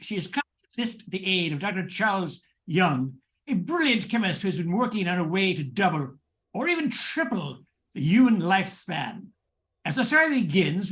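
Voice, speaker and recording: Man playing a high-pitched theatrical radio host. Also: fairly bright tone. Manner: theatrical, deliberate. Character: theatrical male radio mystery host and narrator. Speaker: announcer. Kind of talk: old-time radio scripted narration.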